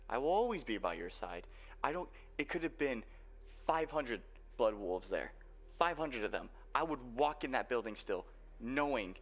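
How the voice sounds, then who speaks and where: A young man playing a guarded, protective cyberpunk hacker boyfriend in an ASMR roleplay, speaking earnestly and haltingly. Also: medium pitch, fairly bright tone. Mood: heated, insistent. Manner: earnest, halting delivery with sighs. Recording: dull lo-fi home recording, faint noise.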